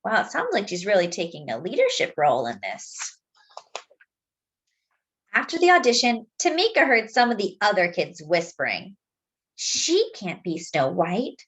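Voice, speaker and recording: A woman reading a children's story aloud expressively, phrasing deliberately as if to young listeners. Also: medium pitch, wildly swooping pitch, a bit bright. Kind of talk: expressive picture-book read-aloud with asides to young listeners.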